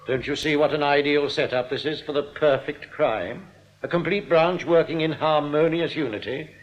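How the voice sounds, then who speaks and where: An actor in a radio play playing a smugly genial crooked bank manager, urbane, patronising and unruffled. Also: male voice, fairly high pitch, normal speed, lightly acted. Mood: smooth, patronising. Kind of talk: radio drama acting. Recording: dull, muffled radio recording.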